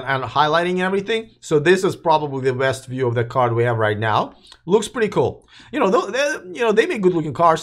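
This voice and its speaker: Male live-stream host, voice fading hoarse, chatty and rambling, talks to his chat. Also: a little high, a bit bright. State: losing his voice, coughing. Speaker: host of a live electric car news show. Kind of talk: casual live-stream commentary.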